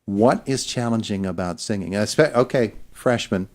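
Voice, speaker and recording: A man hosting a morning radio show in the studio, asking casual questions in a halting way with lots of "uh" and "um.". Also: fairly low pitch. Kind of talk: casual interview.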